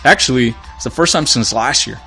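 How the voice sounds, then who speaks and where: A man's voice: medium pitch, a little fast, pushed and bright, faint music behind. A man from Florida hosting his own podcast, ranting bluntly and with exasperation while he is losing his voice. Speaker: podcast host. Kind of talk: solo podcast rant.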